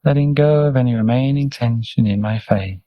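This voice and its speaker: A man leading a guided meditation as a teacher, speaking gently and soothingly in short, unhurried instructions with pauses. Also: medium pitch, no echo, muffled recording. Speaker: meditation teacher, Buddhist monk.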